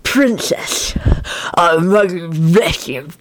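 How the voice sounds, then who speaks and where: A woman's voice: lowish voice, lively intonation, extremely slow, a little hiss or hum. A woman voicing an enormous old hag who mumbles soothingly and barely coherently in a storybook.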